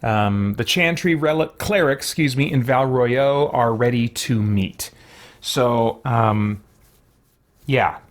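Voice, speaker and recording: A man's voice: medium pitch, slow pace. A man casually commentating a roleplay livestream of a video game, rambling and breaking off his sentences as he goes. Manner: rambling, self-interrupting, hesitant phrasing. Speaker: game streamer.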